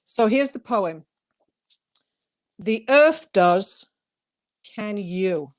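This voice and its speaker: A woman poet presenting and reading her own work as a guest, with deliberate, emphatic phrasing. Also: mid-range voice, expressive. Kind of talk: poetry reading with brief informal introduction.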